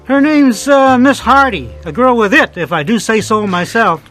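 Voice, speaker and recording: A man's voice: extremely high pitch, lively intonation, normal speed, fairly bright tone, soft background music. A man playing a cheeky, cynical hotel bellhop who wisecracks and bargains in a radio drama. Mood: cynical, wry. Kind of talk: detective radio drama acting.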